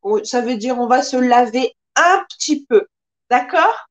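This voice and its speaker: A woman in her thirties teaching a live-streamed French lesson, speaking carefully and expressively, recorded by a webcam in a small room. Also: high voice. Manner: slow, careful, expressive teacher with lots of hand gestures. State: occasional coughing from pollen allergies.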